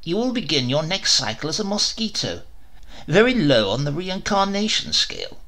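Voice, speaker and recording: A man's voice: fairly high pitch, big pitch swings, normal speed, pushed and bright, full character voice. A man voicing a stern, noble old king who scolds and mocks his spoiled son in a storybook reading. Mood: scornful.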